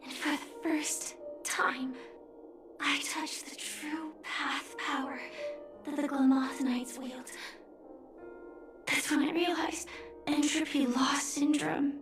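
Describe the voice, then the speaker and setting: A woman voicing a grieving but resolute young knight in a video game, solemn, restrained and softly breathy. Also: sits high, fairly even intonation, slow pace, long pauses. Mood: solemn, reflective. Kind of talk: dramatic video game voice acting. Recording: roomy echo, music underneath. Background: music.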